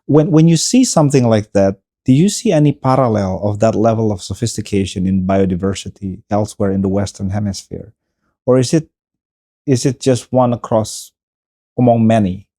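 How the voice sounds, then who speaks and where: A man's voice: on the low side, normal speed, mellow, dry recording, studio quality. A man hosting an interview, asking earnest questions with stammering restarts. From Indonesia. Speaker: podcast host.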